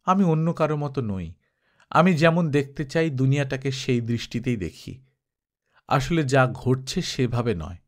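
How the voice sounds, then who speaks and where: A man's voice: mid-range voice, expressive, unhurried, lightly acted. A man voicing a young shepherd boy. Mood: reflective. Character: young shepherd boy, uncertain but hopeful.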